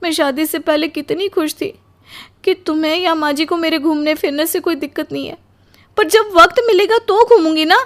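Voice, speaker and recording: A woman's voice: extremely high pitch, normal speed, a bit bright, pitched above the performer's own voice. A woman playing an exhausted, aggrieved young newlywed bride in a melodramatic cartoon story, plaintive and complaining. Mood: weary, plaintive.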